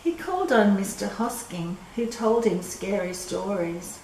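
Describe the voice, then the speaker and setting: An older woman reading a children's storybook aloud in a measured, expressive way. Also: medium pitch. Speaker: storyteller reading a picture book. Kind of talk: scripted storybook narration for children. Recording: roomy echo with clear background noise.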